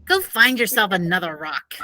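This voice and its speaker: A woman's voice: mid-range voice, very animated, moderate pace, pushed and bright. A woman reading the characters' lines in a children's story in a theatrical, exclamatory way. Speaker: reader of character dialogue. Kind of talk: acted storybook dialogue for children.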